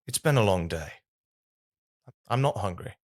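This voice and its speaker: An actor playing an earnest, devoted male knight who speaks formally and haltingly in an intimate audio drama. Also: fairly low pitch, animated. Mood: courtly, gentle. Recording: music under the voice.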